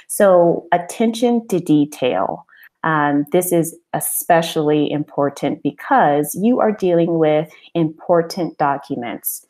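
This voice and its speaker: A woman with a low voice leads an online webinar as a business coach. She speaks in an encouraging, conversational way, posing questions and answering them herself. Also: slow, darkish tone. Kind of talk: informal webinar presentation.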